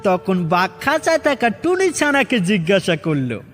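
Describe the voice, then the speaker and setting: A man's voice: sits high, big pitch swings, normal speed. A man narrating a children's cartoon story as its narrator and host. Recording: heavy reverb with music.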